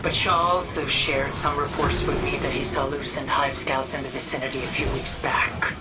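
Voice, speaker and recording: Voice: mid-range voice, flat delivery, moderate pace, over loud music, natural voice. A performer playing a friendly, focused quest-giver in a video game, briefing an ally in an earnest, conversational way. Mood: informative.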